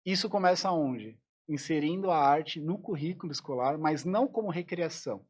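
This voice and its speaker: A man's voice: a little high, moderate pace, breathy edge. A man, a musician guest on a podcast, speaking reflectively and often restarting his sentences. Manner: reflective, thinks aloud with many restarts. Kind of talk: casual interview conversation.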